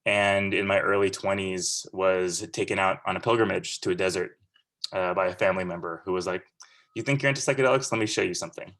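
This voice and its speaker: A man's voice: deep voice, steady tone, fast, a bit bright. A man in his thirties from Central California, giving a casual, earnest and rambling guest lecture over a video call. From Central California, USA. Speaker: guest speaker.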